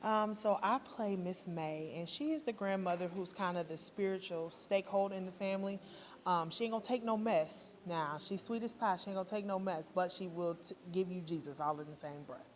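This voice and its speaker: A woman's voice: fairly low pitch, fairly quick. An American woman, a stage actor, giving casual interview answers in an echoey, noisy hall. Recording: echoey hall with background noise.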